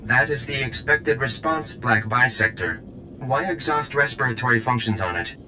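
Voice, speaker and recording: A man voicing a stiff, haughty alien operator in a video game, speaking in stilted formal phrases with deliberate delivery. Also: on the low side, noisy background, lightly acted. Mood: formal, detached. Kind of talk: video game character acting.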